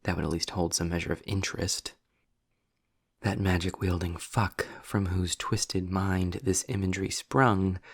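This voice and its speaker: A man playing a sarcastic, impatient, erudite demon in a scripted audio roleplay, speaking in a wry, wordy way with dramatic pauses. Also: low-pitched, gravelly, husky, no echo, studio quality, full character voice. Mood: scornful.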